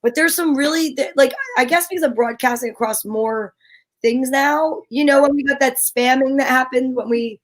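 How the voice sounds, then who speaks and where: A woman's voice: sits high, moderate pace. A woman co-hosting a casual comedy chat show, talking in a playful, rambling way. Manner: playful, rambling, breaks into song. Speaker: co-host.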